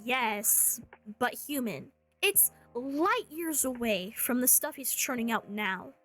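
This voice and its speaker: A woman with a high voice delivers a scripted online rant. She is sarcastic and jokes as she criticizes, slipping into mocking asides. Faint music plays behind her. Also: a bit bright. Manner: sarcastic, joking. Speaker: commentary YouTuber.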